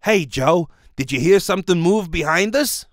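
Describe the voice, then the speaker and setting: A man's voice: high voice, lively intonation, moderate pace, dry recording, studio quality, pitched above the performer's own voice. A man voicing a panicky, slangy, dim working-class fellow in an old-style radio play. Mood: frightened. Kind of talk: old radio serial acting.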